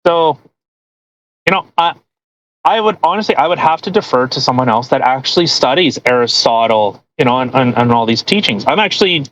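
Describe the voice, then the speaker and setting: A woman's voice: rumbling low, lively intonation, fairly quick, rough recording. A woman hosting a podcast, chatting casually in a rambling, incredulous and mocking way. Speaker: podcast host. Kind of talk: casual chat.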